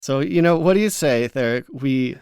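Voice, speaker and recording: A man chats casually as a podcast co-host. His voice is smooth and clean at a middling pitch, recorded dry without room echo, and he feels his way through sentences with restarts. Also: lively intonation, moderate pace, darkish tone.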